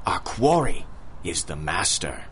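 A man's voice: low voice, very animated, slow pace, fairly bright tone, a little hiss or hum, full character voice. A man playing a courtly, sinister big-game hunter villain in a 1930s-style radio drama, speaking formally and theatrically. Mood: intent, eager.

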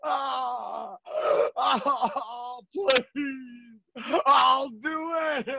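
A man performs a terrified, wounded outlaw in a radio Western. His voice is very high and gravelly, very slow, in dull home-call sound. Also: noisy background, heavily acted. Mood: agonized, pleading. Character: terrified, wounded male bandit begging for his life. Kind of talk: Western radio play acting.

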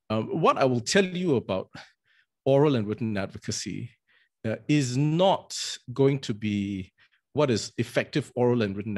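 Man, middle-aged, from singapore, barrister, earnest webinar talk, restarts and fillers, video call mic. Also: mid-range voice. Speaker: barrister and arbitrator. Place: indoors with virtual green backdrop. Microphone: video call, webcam.

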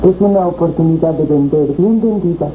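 A woman's voice: deep voice, brisk, highs cut off, lightly acted. A woman playing a businesslike, persuasive buyer's agent in a 1970s telenovela. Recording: noisy old TV videotape.

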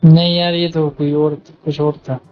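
A man's voice: a little high, flat delivery, moderate pace, muffled recording. A man chatting casually as a teammate in an online game's voice chat. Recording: game voice chat under loud music. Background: loud music, gunfire.

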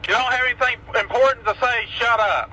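A man's voice: extremely high pitch, fairly even intonation, fast talker. Blunt man through heavy radio noise. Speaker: trucker on CB radio. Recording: very noisy CB radio.

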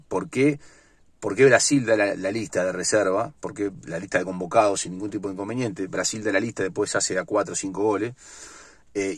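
Blunt ranting Uruguayan man, low voice. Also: husky, no echo. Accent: Uruguayan Spanish. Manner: blunt and ranting, many fillers and restarts. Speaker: sports vlogger. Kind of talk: opinionated solo football commentary. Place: inside a parked car. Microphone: phone or webcam at arm's length.

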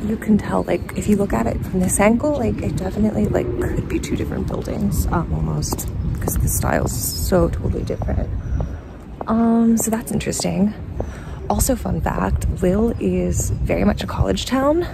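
A young American woman talking casually as a travel vlogger while walking city streets, filling her talk with "um" and "like", her voice low and rough from dry air. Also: normal speed. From United States. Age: young adult. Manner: chatty, many fillers like "um" and "like". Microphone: phone camera held at arm's length. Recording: loud street noise behind.

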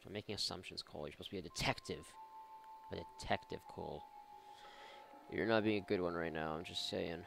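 A male gamer commentates casually over his play, sarcastic and prone to swearing. His voice is on the low side with noticeable fry, and faint game noise sits behind it. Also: brisk. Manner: sarcastic, sweary, mock exasperated. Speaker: video game let's-player.

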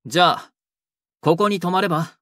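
A man playing an earnest, quietly lovestruck young delinquent in a romantic audio drama. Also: sits high, moderate pace. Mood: offhand.

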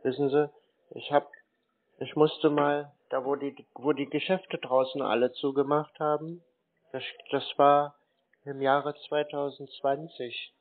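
A man's voice: on the high side, flat delivery, measured pace. A man in a confused-sounding phone conversation, naive and hesitant, stalling as he talks. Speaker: prank caller answering phone scammers. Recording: tinny narrowband phone line.

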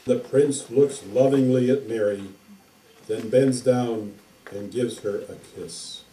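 A performer narrating a fairy tale as its storyteller in a measured, ceremonial manner. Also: medium pitch, a little hiss or hum, close to an everyday voice. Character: fairy-tale storyteller giving a closing blessing. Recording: distant, echoey, under loud music.

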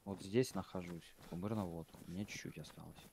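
A man's voice: deep voice, fairly even intonation, normal speed, vocal fry, noisy background. A man chatting casually over voice chat while gaming, blunt and rambling, swearing freely. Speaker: gamer on voice chat.

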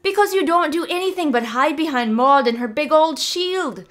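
A woman voicing a mischievous, bouncy young female druid in a storybook reading, talking high, loud and excitably. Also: moderate pace. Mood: mocking.

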